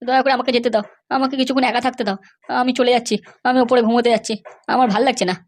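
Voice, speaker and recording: Woman voicing high-voiced sulky cartoon son. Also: fairly even intonation, very fast, natural voice. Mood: sulky, dejected. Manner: sullen, pleading. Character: sulky, downcast young son wanting to be left alone. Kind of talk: cartoon dialogue.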